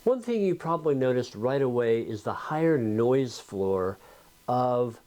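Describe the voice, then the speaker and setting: Slow-paced, mellow man explaining. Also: medium pitch, animated, slight background noise. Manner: explanatory, conversational. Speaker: video host. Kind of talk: informal tutorial talk to viewers.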